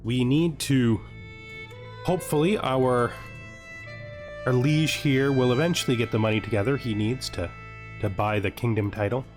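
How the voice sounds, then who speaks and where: A man's voice: medium pitch, normal speed, long pauses. A man giving casual gameplay commentary, chatting and thinking aloud as he hosts. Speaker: host of a gaming series. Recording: music playing behind the voice.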